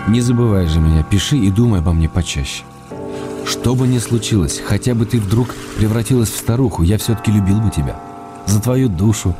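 Guy acting a loving wry husband reading his letters, deep quiet voice, radio drama with music under it. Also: close to an everyday voice. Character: tender, wry ailing writer husband writing love letters. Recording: music behind the voice.

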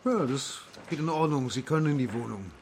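An actor playing a businesslike police inspector in a radio play, speaking in an official but offhand way. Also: male voice, mid-range voice, expressive, a little fast, a little hiss or hum, natural voice.

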